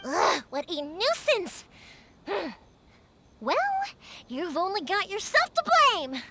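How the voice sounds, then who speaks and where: A woman's voice: very high-pitched, takes it slowly, heavy background noise, exaggerated voice. An actress voicing a cheerful, determined young woman fireworks maker in a video game. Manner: lively, caring and determined. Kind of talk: video game dialogue acting. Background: game music.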